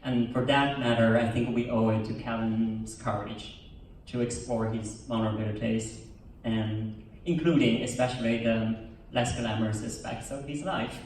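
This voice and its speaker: A man whose first language is Chinese speaks earnestly at a premiere Q&A, halting and restarting now and then. His voice sits in the middle range and comes from far off in a heavily echoing theater. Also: medium pitch, steady tone, a little mellow, a little hiss or hum. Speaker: documentarian. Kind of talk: premiere Q&A panel remarks. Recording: echoey hall, from far off.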